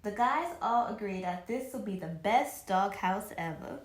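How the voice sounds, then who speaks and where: A woman reading a children's story aloud in an expressive, animated way, occasionally stumbling and rereading a phrase. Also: medium pitch, unhurried, reverberant.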